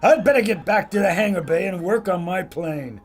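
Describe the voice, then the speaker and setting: An actor playing a brash, suspicious American fighter pilot in an old-time radio adventure style. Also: male voice, high voice, moderate pace, a little hiss or hum, natural voice. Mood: wary, flustered.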